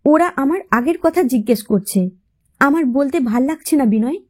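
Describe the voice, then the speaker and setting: A woman voicing a gentle, pleading young daughter-in-law eager to be loved in an audio story. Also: high-pitched, expressive, normal speed. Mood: hurt, pleading.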